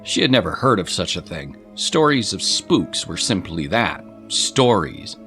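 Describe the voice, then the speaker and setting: A man's voice: deep voice, sing-song, a little slow, bright, forceful tone, soft background music. A man narrating a scripted dramatic story in the manner of an audiobook.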